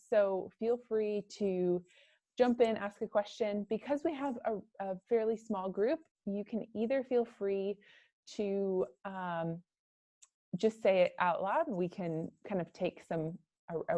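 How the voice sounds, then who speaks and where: A woman's voice: medium pitch, mellow, no echo. A woman hosting a virtual career panel, talking in a friendly way with many fillers. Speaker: host, career center manager. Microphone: video call.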